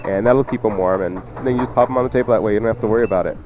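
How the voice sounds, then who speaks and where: A man's voice: fairly low pitch, rapid-fire, lo-fi sound, background noise. A man hosting a cooking show with casual, joking, teasing instructions.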